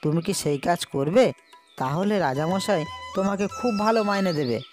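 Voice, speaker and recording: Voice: mid-range voice, lively intonation, normal speed, lightly acted. A voice actor playing an eager, polite young male villager in a cartoon, with plenty of music behind. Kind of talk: cartoon voice acting.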